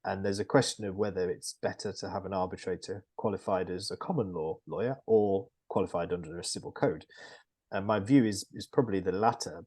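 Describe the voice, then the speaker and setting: Husky man explaining in measured tones. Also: sits low, darkish tone. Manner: measured, explanatory, with frequent ums. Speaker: construction lawyer panelist. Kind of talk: webinar panel discussion.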